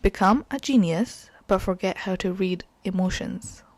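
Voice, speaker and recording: A woman's voice: mid-range voice, a little slow, no room sound. A young woman, a podcast co-host whose first language is Urdu, chatting casually and laughing often. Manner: chatty, giggly, animated. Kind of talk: casual chat.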